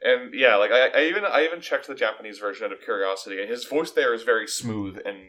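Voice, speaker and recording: A man's voice: medium pitch, quick pace, pushed and bright. A man co-hosting a podcast in casual, opinionated chat, with an emphatic, critical and wry manner. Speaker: podcast co-host.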